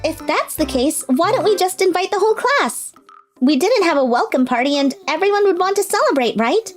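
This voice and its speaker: A woman playing a youthful, expressive schoolgirl in scripted animated dialogue. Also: very high voice, fairly quick. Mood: bright, casual. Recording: music behind the voice.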